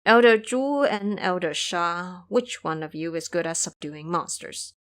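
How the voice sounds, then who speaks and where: A woman's voice: on the low side, unhurried, a bit bright. A woman voicing a timid, courteous king with formal, stately speech in an audio drama. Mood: shaken, formal.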